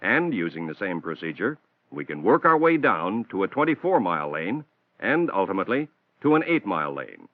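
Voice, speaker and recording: An American man reading a scripted instructional narration for a training film in a measured, formal, explanatory manner, on a 1960s film soundtrack. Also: deep voice, big pitch swings, no echo. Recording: dull, band-limited 1960s film soundtrack.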